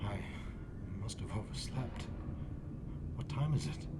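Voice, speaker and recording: Haunted, melodramatic guilty husband, old radio. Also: male voice, lowish voice, animated, very noisy. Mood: nervous, evasive. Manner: melodramatic, halting, swinging from hushed to frantic. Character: haunted, guilty husband narrating his own crime. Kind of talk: 1940s radio acting, dramatic first-person narration. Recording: old 1940s radio drama recording.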